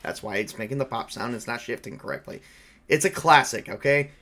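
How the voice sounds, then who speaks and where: A man's voice: medium pitch, a little fast, pushed and bright. A man improvising as a broke, defensive college teaching assistant in his thirties in a tabletop roleplay.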